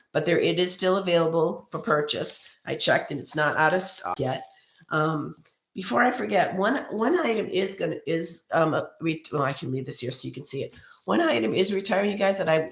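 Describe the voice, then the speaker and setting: A hoarse woman hosting a chatty live card-making tutorial, rambling in a friendly way with many ums and restarts as she greets viewers by name. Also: deep voice, fairly bright tone, slight background noise. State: hoarse from a cold. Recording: roomy echo.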